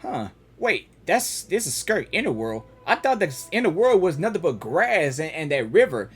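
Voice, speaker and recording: A woman gaming streamer giving casual live reactions in an informal, halting, exclamatory way. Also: sits low, very animated, breathy edge, soft background music.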